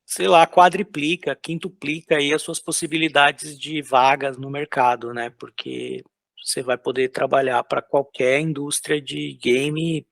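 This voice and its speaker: A man from São Paulo talks in a relaxed, halting way, piling up fillers and restarts while he sketches. His voice sits a little high with a gravelly, creaky edge. The sound is close and dry. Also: no echo. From São Paulo, Brazil. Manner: loose, hesitant, lots of filler words and restarts. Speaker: concept artist guest. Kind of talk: casual interview while drawing.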